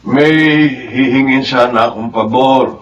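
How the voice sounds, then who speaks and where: An actor playing a tender, devoted father and husband in a radio drama, speaking earnestly and haltingly. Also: mid-range voice, flat delivery, muffled recording, music in the background, natural voice. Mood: shy, wistful. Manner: sentimental, earnest, halting delivery.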